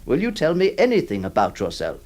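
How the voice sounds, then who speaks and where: An actor playing a middle-aged lawyer who narrates, stammering and theatrical, swinging between mock cheer and pleading. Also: male voice, mid-range voice, wildly swooping pitch, brisk, a little mellow. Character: middle-aged male lawyer, flustered, exasperated, kindly. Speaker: lawyer employing a scrivener, narrator. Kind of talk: 1960s radio drama acting.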